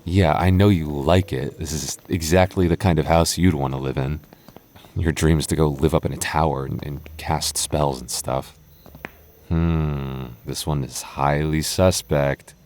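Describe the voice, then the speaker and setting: A man playing a protective, tender young goth boyfriend in a roleplay audio drama, talking haltingly and intimately to his partner. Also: extremely low pitch, steady tone, a little mellow, a little hiss or hum. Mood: teasing, wary. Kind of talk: boyfriend ASMR roleplay, acted conversationally to the listener.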